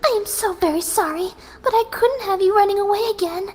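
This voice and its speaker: A woman voicing a gentle, soft-spoken young female pegasus pony who is serenely deranged, in a horror fan fiction reading. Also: very high-pitched, pitched above the performer's own voice. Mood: calmly menacing. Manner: gentle, sweet, unhurried while menacing. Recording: music behind the voice.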